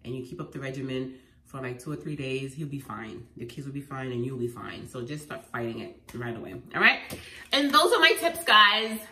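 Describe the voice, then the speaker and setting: A woman with a head cold, sounding congested, sharing home remedy tips in an animated, emphatic vlog at home. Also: very deep voice, a little fast. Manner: animated and emphatic, repeating phrases. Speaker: lifestyle vlogger. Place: at home indoors. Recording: echoey room, faint noise.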